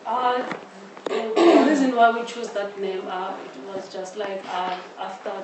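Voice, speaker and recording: A woman with a South African accent answering interview questions in a halting way, often repeating words. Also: medium pitch, steady tone. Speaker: interviewee, audio drama creator. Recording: roomy echo, distant mic, faint noise.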